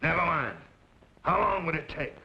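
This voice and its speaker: An actor playing a ruthless, domineering land baron in an old-time radio western, with a gravelly, forceful voice. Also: male voice, on the high side, normal speed. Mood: scheming, urgent. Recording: dull, noisy old radio recording.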